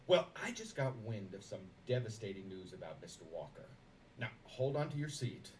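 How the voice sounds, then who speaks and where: Man acting scheming businessman, unctuous, theatrical, animated pitch, phone monologue, distant mic with faint noise. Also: moderate pace. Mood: falsely chummy. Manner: unctuous false sincerity, theatrical asides. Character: scheming smug businessman faking concern on a phone call. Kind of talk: dramatic monologue, one side of a phone call.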